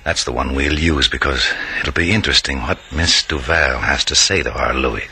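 An actor playing a cold, smooth-talking male schemer in an old radio crime drama, sly and menacing. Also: extremely low pitch, moderate pace, sharp tone, exaggerated voice. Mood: scheming. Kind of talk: 1940s-50s radio crime drama acting. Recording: old radio recording, faint noise.

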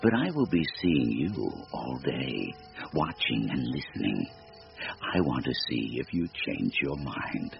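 An actor playing a sinister supernatural bargainer in a radio drama, gravelly, with a deliberate, formal, taunting delivery. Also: male voice, very deep voice, very animated, faint music behind, exaggerated voice. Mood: commanding, taunting. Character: sinister supernatural stranger, silky, devilish bargainer, bored and mocking. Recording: noisy, muffled radio drama recording.

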